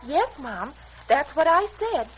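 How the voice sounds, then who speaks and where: An actress playing a sweet, anxious young daughter in a 1940s radio serial, pleading and solicitous. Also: female voice, high-pitched, very animated, measured pace, soft background music. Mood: anxious, affectionate. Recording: noisy old 1940s radio recording.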